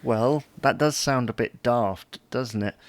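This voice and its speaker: A man's voice: mid-range voice, measured pace, no echo, close to an everyday voice. A British man playing an easygoing, slightly hapless husband, mildly defensive, in an audio sitcom. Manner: mild, querying, gently defensive. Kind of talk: audio sitcom acting, domestic dialogue.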